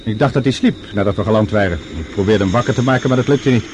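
A man acting a smooth-talking, courteous older doctor in a Dutch radio drama, formal and solicitous. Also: on the low side, fast, lightly acted. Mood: grave, formal. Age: middle-aged or older. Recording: old radio drama with clear background noise.

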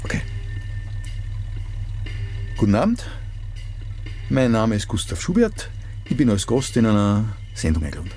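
A gruff, impatient Viennese man with a lowish voice, nervously set on a risky plan. He talks in curt, colloquial grumbles in radio drama style, with faint music behind him. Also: huge pitch swings, normal speed, pauses often, dark tone. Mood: grudging. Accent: Viennese Austrian. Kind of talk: naturalistic radio drama acting.

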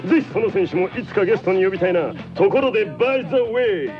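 Hyped, slick male DJ. Fast, swooping announcer patter with English flourishes, for comic radio drama. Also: high voice, animated. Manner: exaggerated announcer patter sprinkled with English words. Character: hyped, slick, English-peppering radio DJ, over-familiar man. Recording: slightly dull audio with music behind.

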